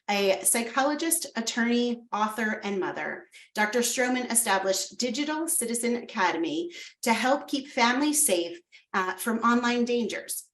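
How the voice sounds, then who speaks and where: A woman hosting a webinar, reading out panel introductions from a script with small slips and self-corrections. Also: mid-range voice. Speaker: webinar host. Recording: roomy sound from a distance.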